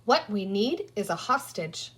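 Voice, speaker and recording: A woman's voice: medium pitch, big pitch swings, measured pace, fairly bright tone, husky, heavily acted. A woman voicing a bossy, resourceful young girl in a children's story, talking emphatically as she reasons aloud. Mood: decisive. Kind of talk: children's storybook character reading.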